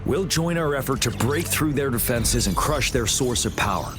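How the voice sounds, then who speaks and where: An actor playing a composed, resolute general in a video game, speaking in a measured, commanding way over music. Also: male voice, mid-range voice, animated, close to an everyday voice. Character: composed, resolute male general briefing his troops. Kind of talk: video game cutscene acting. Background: background music.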